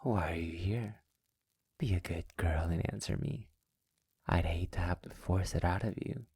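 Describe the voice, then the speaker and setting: Man playing a very low, gravelly vampire master. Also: darkish tone, dry recording, studio quality, full character voice. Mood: seductive, menacing. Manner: slow, teasing, often dropping into breathy whispers; silky, formal, condescending endearments. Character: sadistic possessive male vampire master, suave and menacing. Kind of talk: ASMR roleplay, intimate scripted monologue. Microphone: close mic in a dry, quiet space.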